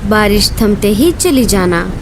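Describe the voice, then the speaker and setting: A woman's voice: mid-range voice, expressive, measured pace, noisy background. A woman voicing a caring, bossy bird auntie who advises urgently in an animal cartoon story.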